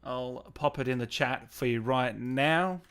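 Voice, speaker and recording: A man's voice: medium pitch, a little slow, a bit bright, clean tone. A man hosting a casual live stream, reading out comments and thanks in an enthusiastic, matey, informal way.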